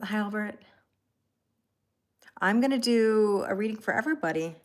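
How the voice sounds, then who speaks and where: A woman's voice: medium pitch, lively intonation, moderate pace. A Canadian woman, probably in her thirties, hosting a tarot live stream and chatting casually with viewers, greeting them by name and laughing often.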